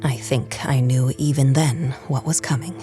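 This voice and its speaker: A woman reading a first-person story as a dramatic narrator, measured, with phrases that drop into a hush. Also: very deep voice, fairly even intonation, over music. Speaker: audiobook narrator.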